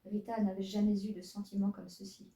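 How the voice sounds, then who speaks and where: Brief: a woman reading her own story aloud, mellow and dark, in a roomy room. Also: medium pitch, flat delivery, brisk. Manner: self-mocking asides, stumbles over her text. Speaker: fanfiction reader. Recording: roomy, distant mic.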